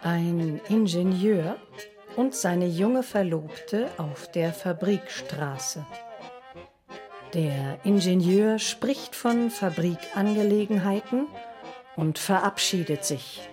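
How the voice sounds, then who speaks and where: A woman's voice: lowish voice, takes it slowly, mellow. A woman narrating scripted scenes in clipped, declarative phrases. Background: music.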